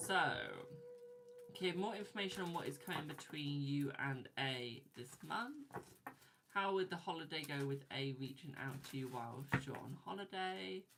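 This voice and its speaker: A man's voice: fairly high pitch, lively intonation, normal speed, gravelly, fairly bright tone, a little hiss or hum. A man in his twenties, a tarot reader, chatting casually on a live stream and reading viewer comments aloud, laughing often. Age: 20s.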